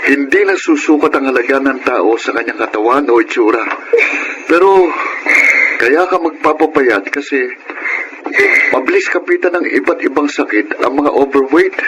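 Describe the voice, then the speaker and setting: A man's voice: mid-range voice, lively intonation, normal speed, long pauses, natural voice. A man acting an encouraging older brother in a Filipino radio drama, patient and instructive. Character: encouraging older brother coaching his sister's jogging. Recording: noisy radio drama recording.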